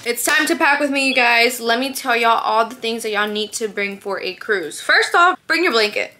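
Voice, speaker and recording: A woman in her twenties chatting casually to camera in an animated, slangy way, swearing freely. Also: fairly high pitch, normal speed. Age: 20s. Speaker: vlogger. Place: car, shops, parking lot, cluttered bedroom. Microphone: handheld or propped vlog camera. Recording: noisy handheld camera audio.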